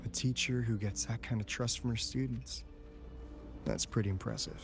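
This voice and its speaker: An actor playing a wry, casual academy insider with a teasing, expressive manner in a video game, with music behind him. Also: male voice, medium pitch, normal speed, vocal fry, close to an everyday voice. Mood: wry, candid. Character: breezy, wry adult male academy insider briefing an auditor.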